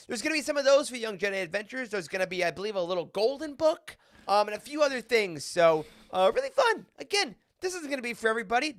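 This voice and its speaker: This is a podcast host in casual, jokey conversation. The voice sits at a middle pitch and is very lively, swinging up and down as it moves between announcements and banter. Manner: animated, jokey. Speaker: host of a fan roundtable show. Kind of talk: casual chat, hosting.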